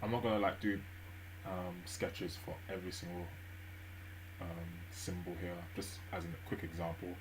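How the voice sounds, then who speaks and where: Low-voiced man thinking aloud while studying. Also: fairly even intonation, moderate pace, slight background noise, poor audio. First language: English. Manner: thinks aloud with frequent fillers and restarts, soft gentle pace. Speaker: language learner live-streaming a study session. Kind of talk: relaxed study stream narration.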